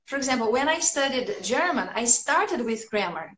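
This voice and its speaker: A woman in her thirties from Brazil, a native Portuguese speaker with a Brazilian accent, chatting casually as an English teacher on a livestream, upbeat and quick to laugh. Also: on the high side, expressive, a bit bright, a little hiss or hum. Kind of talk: casual livestream chat answering viewers' comments. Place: small room at home, indoors. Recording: echoey small room.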